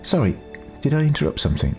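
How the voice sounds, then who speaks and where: A young female companion, voiced by a male narrator, speaks in a lifted pitch with tentative, gentle concern. It is an audio story character with music underneath. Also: big pitch swings, fast, creaky. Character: young woman companion, caring and puzzled.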